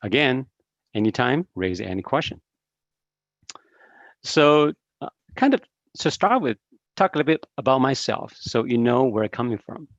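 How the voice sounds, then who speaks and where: A man's voice: mid-range voice, expressive, normal speed, long pauses, no room sound. A man in his fifties from Taiwan, a seminar speaker giving an encouraging online talk with many hesitant fillers over a video call. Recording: dry, dull video-call audio.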